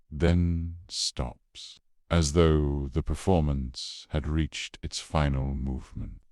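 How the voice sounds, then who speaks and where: Very deep, slow male horror narrator. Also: a little mellow, no echo, clean studio sound. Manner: measured, solemn storytelling in short dramatic phrases. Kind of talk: scripted analog horror mystery narration.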